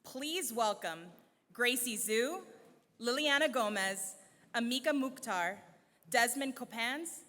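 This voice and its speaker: A woman giving a formal, measured introduction at an awards ceremony in a large church hall. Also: fairly high pitch, fairly bright tone. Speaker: event presenter. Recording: long reverb of a church hall.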